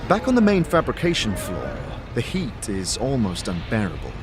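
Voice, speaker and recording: A man's voice: on the low side, huge pitch swings, normal speed. A man giving dramatic, scripted second-person narration for a fantasy audio drama.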